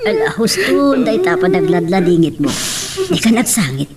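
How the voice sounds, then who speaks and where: A woman with a Filipino accent playing an elderly grandmother, soothing and gently scolding, in an audio melodrama. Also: mid-range voice, wildly swooping pitch, normal speed, over a noisy crowd. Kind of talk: Filipino radio-style melodrama acting.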